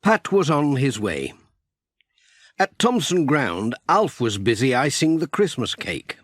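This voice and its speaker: A man's voice: medium pitch, very animated, takes it slowly, fairly bright tone, no echo, studio quality. A man with a British accent narrating a scripted children's story as an audiobook reader.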